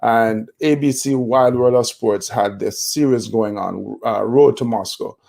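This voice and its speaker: A Jamaican man in his fifties, a motivational speaker, telling his life story in a relaxed interview with many fillers and restarts. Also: medium pitch, a little slow, gravelly. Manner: reflective, with frequent fillers and restarts.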